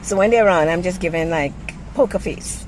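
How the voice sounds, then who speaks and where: A woman's voice: on the low side, a little fast, darkish tone. A middle-aged woman, ranting casually to a handheld camera in a blunt, exasperated, salty-tongued way from inside a car. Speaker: vlogger. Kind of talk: casual first-person rant to camera. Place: inside a parked car by a busy street. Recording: noisy car interior with street traffic.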